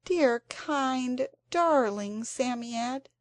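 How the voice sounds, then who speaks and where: A woman's voice: fairly high pitch, expressive, slow pace, no room sound, clean studio sound, pitched above the performer's own voice, full character voice. A woman doing an earnest, dramatic young girl. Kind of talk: storybook character voice.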